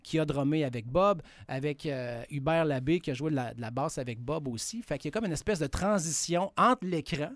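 A man's voice: a little high, animated, fairly quick, hardly any pauses, no echo. A man with a Québécois accent, enthusiastically telling stories in a casual podcast chat. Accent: Québécois French. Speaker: guest, video producer.

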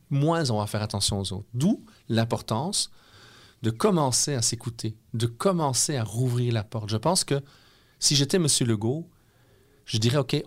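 Earnest Belgian-accented middle-aged man. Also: medium pitch. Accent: Belgian French. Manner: earnest, explanatory, persuasive. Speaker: guest speaker. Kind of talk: interview conversation.

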